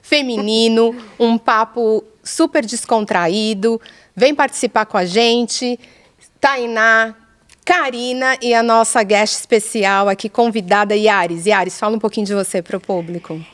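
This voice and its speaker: A woman hosting a casual podcast, upbeat and quick to steer the conversation with questions. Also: on the high side. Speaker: podcast host. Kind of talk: casual chat.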